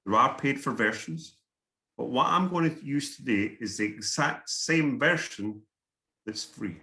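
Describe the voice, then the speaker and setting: A man's voice: mid-range voice, animated, measured pace, sharp tone. A middle-aged man from Scotland with a Scottish accent, giving a workshop talk over a video call, earnest and often repeating his words. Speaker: guest speaker. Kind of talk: online workshop presentation.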